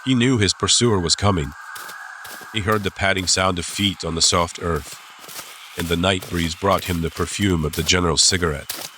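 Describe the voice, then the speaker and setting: Male narrator for an adventure audiobook: low voice, suspenseful delivery, clear ambient sound effects behind. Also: bright, forceful tone. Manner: suspenseful, deliberate phrasing. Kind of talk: scripted dramatic audiobook narration. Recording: clear background ambience noise.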